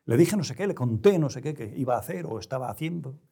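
A middle-aged man who is a writer, talking reflectively in an interview, choosing his words with pauses and restarts. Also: medium pitch, expressive, vocal fry, mellow, breathy edge. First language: Spanish. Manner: measured, searching for words, frequent restarts. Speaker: novelist, interview guest.

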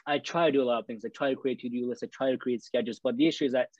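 A man's voice: medium pitch, fairly even intonation, rapid-fire, a little mellow, no room sound, dull audio. A man in his twenties chatting casually about student life, rambling with many fillers. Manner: rambling, heavy use of "like". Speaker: student podcast co-host. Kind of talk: casual chat.

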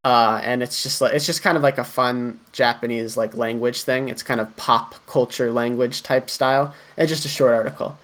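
A man co-hosting a relaxed podcast chat at an ordinary middle pitch. He rambles and restarts often, padding his talk with fillers as he explains things. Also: moderate pace, few pauses. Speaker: podcast co-host.

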